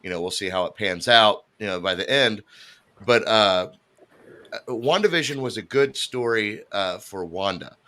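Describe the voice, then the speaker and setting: A man giving his take on a live discussion panel, measured and pausing on fillers. His voice sits low but comes out bright and forceful. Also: expressive.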